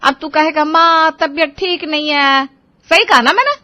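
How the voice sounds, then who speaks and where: A woman voicing a nagging, sharp-tongued mother. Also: sits high, normal speed, voice pushed up. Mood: mocking. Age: middle-aged. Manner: scolding, sarcastic.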